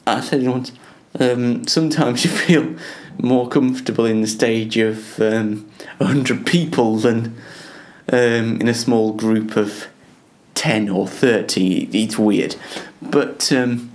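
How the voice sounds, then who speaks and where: A man's voice: medium pitch, fairly even intonation, slow pace, a little mellow. A young man hosting a casual show in a self-deprecating way, stumbling over and repeating the starts of his phrases. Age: young adult. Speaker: host. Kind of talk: casual self-interview and chat to viewers.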